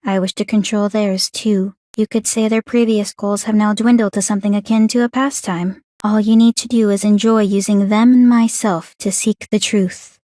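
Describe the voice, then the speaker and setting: A woman's voice: mid-range voice, steady tone, moderate pace, hardly any pauses, warm tone, no room sound, clean studio sound, natural voice. A woman playing an aloof, bookish young warrior maiden in a video game, speaking in a composed, formal, precise and detached way. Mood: detached, calculating. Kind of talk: English video game voice acting.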